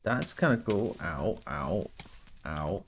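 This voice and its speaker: Gravelly, sniffly male game streamer. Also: on the low side, very animated, takes it slowly, a little hiss or hum. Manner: chatty, thinks aloud, reads chat. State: sniffly, nasal from a cold. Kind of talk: casual gameplay commentary.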